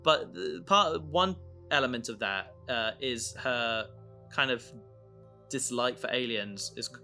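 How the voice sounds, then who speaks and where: A man in a casual podcast discussion, explaining his creative work in a halting way, thinking aloud. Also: mid-range voice, pushed and bright, soft background music. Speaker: audio drama creator.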